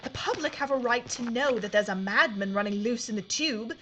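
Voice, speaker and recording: A woman's voice: on the high side, fairly quick, sharp tone, slight background noise. An actress playing a bold, wry aristocratic lady journalist in a wartime audio drama, speaking formally with teasing assurance. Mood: earnest, firm. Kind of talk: period audio drama dialogue.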